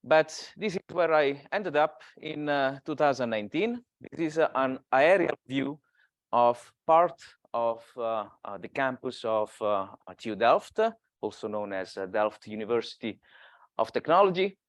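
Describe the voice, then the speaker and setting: A man's voice: medium pitch, animated, a little slow, no echo. A man in his thirties from Italy with an Italian accent, giving an academic seminar talk, hesitant with many filler sounds. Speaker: guest speaker.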